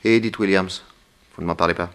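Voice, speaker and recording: An actor playing a bold, wry detective with a brisk commanding manner in a 1960s French radio serial. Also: male voice, sits low, fairly even intonation, a bit bright, clear, resonant tone.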